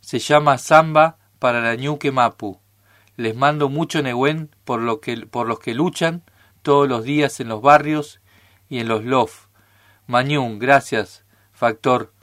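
A male radio host reading out a listener's message in a plain, even voice. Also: medium pitch, unhurried, no echo, studio quality.

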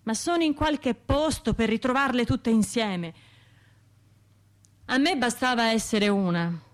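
An actress with a Tuscan accent playing a wounded, wry woman who survived a bombing, in a confiding, halting radio monologue. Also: a little high, natural voice. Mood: sad, reflective. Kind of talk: radio theatre monologue, conversational storytelling.

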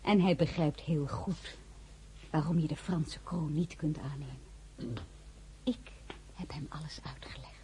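A woman playing a caring crown princess and gracious hostess in a radio drama, composed, courteous and gently firm. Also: low voice, measured pace, long pauses, slight background noise. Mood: calm, firm.

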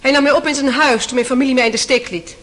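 Radio drama: defiant young mistress testifying, sharp high voice, blunt and spirited. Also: female voice, steady tone, quick pace. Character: defiant, indignant young Russian mistress on the witness stand. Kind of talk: radio play courtroom acting.

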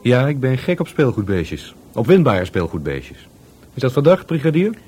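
A man's voice: mid-range voice, big pitch swings, moderate pace, warm tone, slightly rough, faint music behind. A man playing a cagey, cheeky market trader in a Dutch radio play, breezy, slangy and evasive.

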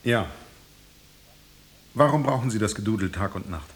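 A man's voice: lowish voice, animated, normal speed, close to an everyday voice. An actor in a radio drama playing a frustrated, sceptical male journalist who speaks in terse questions and commands. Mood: sceptical, impatient. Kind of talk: German radio drama acting. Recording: loud ambient noise behind the voice.

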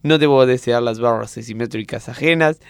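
Clear-voiced Argentine man, dry close recording. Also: medium pitch, fairly quick, no room sound. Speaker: comedy group performer. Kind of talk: recording a comedy sketch with casual banter.

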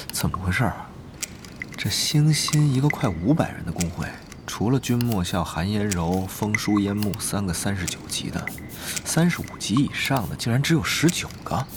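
A man's voice: lowish voice, background noise. A man playing a jumpy, suspicious undercover guild spy in an audio drama, musing aloud and exclaiming. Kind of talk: audio drama acting with inner monologue.

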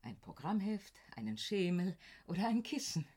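Garrulous female usherette, lively swooping pitch, credulous and emphatic, radio drama. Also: moderate pace, breathy edge. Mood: confiding, animated. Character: chatty, credulous theater box usherette, devoted to phantom. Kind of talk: German radio play acting.

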